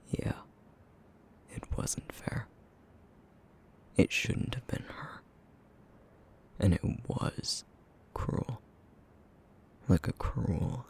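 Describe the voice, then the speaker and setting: A man playing a brooding, guilt-ridden essence-eating creature in an ASMR roleplay drama, bitter and sarcastic, with a breathy, gravelly half-whisper. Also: lowish voice, darkish tone, full character voice. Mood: weary, bitter.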